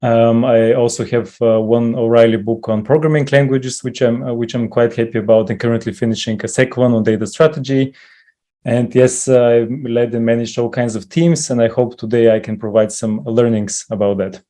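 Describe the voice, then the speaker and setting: A man introducing himself as a panelist on a video call, measured and modest. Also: mid-range voice, fairly even intonation, warm tone. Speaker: panelist, CTO.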